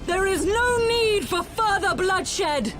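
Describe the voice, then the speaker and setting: An actress playing a noble, resolute elven rebel leader in a fantasy video game, speaking in formal, oratorical phrases. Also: female voice, extremely high pitch, expressive, takes it slowly, over music, exaggerated voice. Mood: rousing, urgent.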